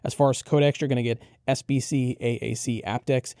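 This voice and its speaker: A man's voice: medium pitch, steady tone, brisk, mellow, no echo, clean studio sound. A man co-hosting an audio gear preview, giving a casual rundown of products.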